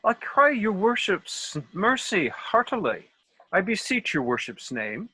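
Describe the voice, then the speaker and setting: A man's voice: sits high, big pitch swings, measured pace, pushed and bright, breathy edge, dry recording, heavily acted. A man playing a foolish, self-assured but courteous rustic workman in a comic Shakespeare table read, with theatrical delivery over a video call.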